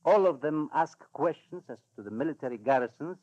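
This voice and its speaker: An actor playing a stern, smugly courteous Balkan government official in 1940s radio drama, speaking formally and with emphasis. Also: male voice, on the high side, lively intonation, darkish tone. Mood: stern, insistent. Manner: formal, emphatic, hesitant pauses. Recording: dull old radio recording.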